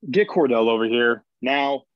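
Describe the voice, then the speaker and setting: A man playing a gruff, suspicious, foul-mouthed boss of a space colony in a sci-fi table read. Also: medium pitch, moderate pace, no echo, muffled recording, natural voice. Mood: wary, probing. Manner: confrontational, demanding, profane.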